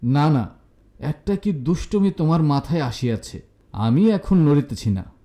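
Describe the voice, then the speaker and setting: A man's voice: on the high side, expressive, a little slow, mellow. A man voicing a good-humoured, embarrassed young bachelor who protests and pleads in an audio story. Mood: stubborn.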